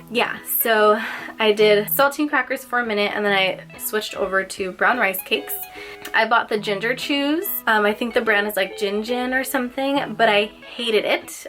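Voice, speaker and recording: A woman's voice: on the high side, fairly bright tone. A young woman vlogging casually, slightly nasal and congested, chatty with lots of filler words. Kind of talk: casual personal vlog.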